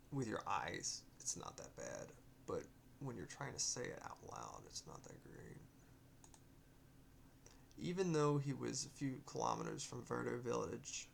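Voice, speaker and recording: Man reading aloud, very creaky voice. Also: mid-range voice, a bit bright, slight background noise. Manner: halting, self-correcting, muttering asides. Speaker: author reading own story. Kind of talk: read-aloud narration with off-script proofreading asides.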